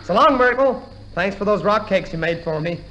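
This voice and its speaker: Wisecracking reluctant recruit, high animated voice, exclamatory, 1950s radio comedy, noisy recording. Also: male voice, normal speed, dull audio. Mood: bustling, cheeky. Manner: exclamatory, mock-incredulous joke delivery. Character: Johnny, brash wisecracking reluctant army recruit.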